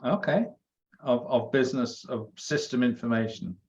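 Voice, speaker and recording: A friendly man interviewing a new network member, working toward each question through fillers and small restarts, with an easy laugh. His voice sits at an ordinary middle pitch, and the video-call sound is a little dull and lo-fi. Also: moderate pace, a little mellow, slight background noise. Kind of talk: interview conversation.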